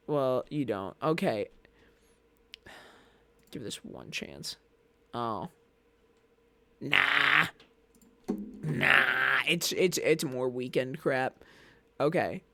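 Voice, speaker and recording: A woman's voice: deep voice, vocal fry, a bit bright. A woman streamer chatting casually and reading a manga aloud, jokey, laughing often and interrupting herself. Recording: background music under voice.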